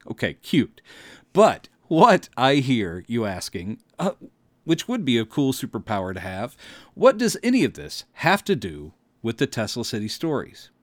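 A middle-aged American man narrates a scripted podcast with a wry, theatrical touch. His pitch swings widely as he tells his story, and the sound is dry and close, as on a studio microphone. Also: wildly swooping pitch, unhurried, no room sound. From Texas, United States. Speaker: podcast host and director. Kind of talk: scripted first-person narration.

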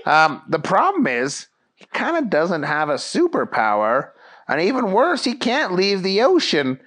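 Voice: medium pitch, wildly swooping pitch, unhurried, a bit bright, pitched above the performer's own voice, exaggerated voice. A storyteller voicing an eager, flustered policeman who rambles, hesitates and exclaims. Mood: baffled. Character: flustered, earnest, eager policeman named Jerry.

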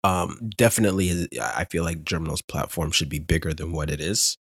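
A man hosting a podcast, joking and telling stories in a lively, laughing way. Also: a bass voice, fairly quick, breathy edge, dry recording, clean studio sound. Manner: animated, joking, laughing storyteller. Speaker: podcast host. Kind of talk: casual podcast chat.

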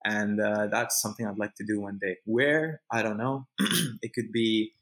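A man's voice: lowish voice, normal speed, fairly bright tone. A Canadian man chatting informally in an interview, repeating phrases for emphasis and using plenty of slang. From Montreal, Canada. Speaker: jiu-jitsu competitor, interview guest. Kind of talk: casual, unscripted interview chat.

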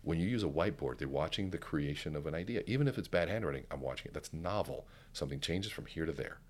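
A middle-aged man with an extremely deep, resonant voice talks in a relaxed podcast conversation. He uses deliberate pauses and speaks from the chest. He tells stories at a measured, unhurried pace. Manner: deliberate, resonant voice from the diaphragm, storytelling. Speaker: keynote speaker and guest.

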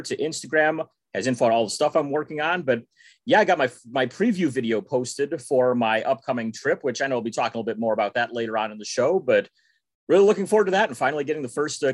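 A man from Texas co-hosts a sports podcast and talks in a relaxed, easygoing way. His voice sits at an ordinary middle pitch. The recording sounds dry and close. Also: no room sound. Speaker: podcast co-host. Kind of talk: casual sports podcast chat.

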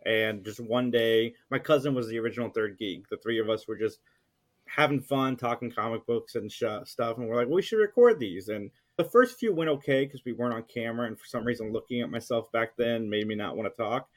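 Middle-aged man, mid-pitched, chatting casually. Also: a little fast. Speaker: podcast guest and co-host of a geek podcast. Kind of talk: casual chat.

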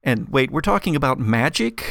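A man's voice: medium pitch, sing-song, a little slow, vocal fry, fairly bright tone, no room sound, studio quality. A man acting as game master of a tabletop roleplay podcast, improvising narration and in-character dialogue.

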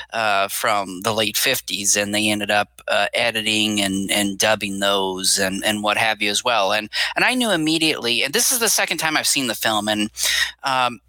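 Male podcast co-host, very bright tone, dry close sound, loose chatty delivery. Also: medium pitch, no echo, poor audio. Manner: chatty, rambling with fillers. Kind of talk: casual chat.

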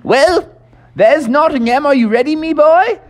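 A reckless old toad gentleman with a put-on lilt, boastful and exclaiming, as an adult storyteller voices him. The voice climbs high and strained above the teller's own, swinging wide in pitch. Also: lively intonation, measured pace. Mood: grand. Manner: grand, jolly, exclaiming. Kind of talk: improvised bedtime story.

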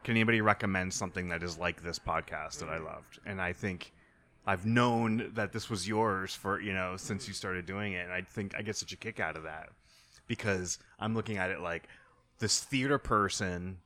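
Guy hosting a relaxed chat interview, lowish voice but bright and punchy. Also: moderate pace. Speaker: interview host. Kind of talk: casual conversational interviewing.

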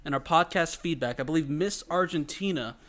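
A young man co-hosting a podcast in casual banter, often breaking into high-pitched giggles. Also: brisk, a bit bright. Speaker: podcast co-host.

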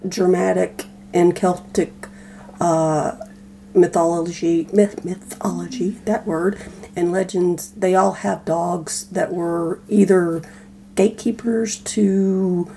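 A woman co-hosting a casual podcast, explaining things in a halting, thoughtful way. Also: lowish voice, steady tone, dark tone, slight background noise. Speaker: podcast co-host.

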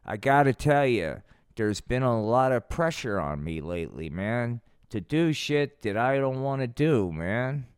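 A man's voice: mid-range voice, very animated, a little slow, clean tone, no echo, studio quality. A man voicing a reluctant, pressured prophet puppet in a comic show, meek and golly-gee folksy. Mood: burdened.

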